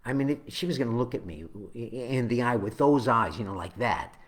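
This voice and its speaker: A man reading his own spoken-word poem, with halting, deliberate phrasing that moves between dramatic swells and hushed drops. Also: mid-range voice, lively intonation.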